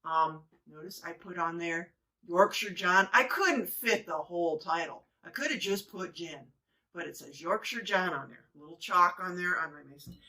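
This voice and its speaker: A woman's voice: lowish voice, normal speed, bright, forceful tone. A woman from the Midwest, chatting casually and rambling a bit as she mixes cocktails on her own show. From Midwest of the United States. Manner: chatty and rambling, with frequent fillers and asides. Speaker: home cocktail show host. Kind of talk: casual how-to chat while mixing drinks. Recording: voice a bit distant from the mic.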